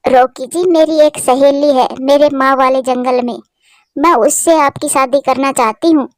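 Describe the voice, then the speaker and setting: A woman voicing a gentle, sorrowful, self-sacrificing female bird wife in a cartoon, speaking in an earnest, emotional way. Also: sits high, fairly even intonation, unhurried, no room sound, studio quality. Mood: earnest, pleading. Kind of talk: Hindi cartoon voice acting.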